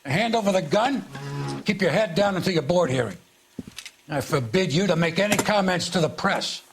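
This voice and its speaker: Stern old police boss in a game, fatherly but indignant, pitch jumps around, faint music. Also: big pitch swings, moderate pace, a bit bright. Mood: indignant, disappointed. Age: older. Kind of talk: dramatic video game acting.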